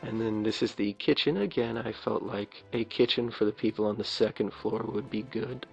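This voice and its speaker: A man with a low raspy voice giving rambling, playful ASMR commentary as he plays a video game. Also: moderate pace, a little mellow, muffled recording, faint music behind. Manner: rambling, playful, jokingly self-important. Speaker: game streamer. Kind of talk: ASMR let's play commentary.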